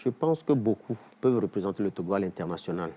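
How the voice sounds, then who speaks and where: Rappeur à la voix grave et hésitante. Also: male voice, deep voice, fast, breathy edge. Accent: Togolese French. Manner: hesitant, many fillers, gets excited listing names. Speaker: rapper guest. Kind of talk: casual interview answers.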